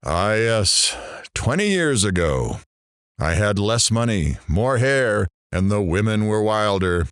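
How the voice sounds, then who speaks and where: A man's voice: lowish voice, big pitch swings, slow pace, clean tone, no echo, studio quality. A man playing a brash, sardonic middle-aged radio talk show host in an audio drama, with showy, theatrical patter. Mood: teasing, showy.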